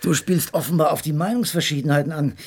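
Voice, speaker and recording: Husky, higher-voiced genial man. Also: fast talker. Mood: puzzled, inquiring. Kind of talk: German radio play acting.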